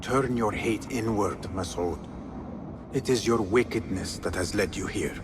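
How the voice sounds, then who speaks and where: An actor playing an earnest, righteous young male assassin in a video game cutscene, solemn and deliberate. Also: on the low side, background noise, close to an everyday voice. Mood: grim. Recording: music under the voice. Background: music.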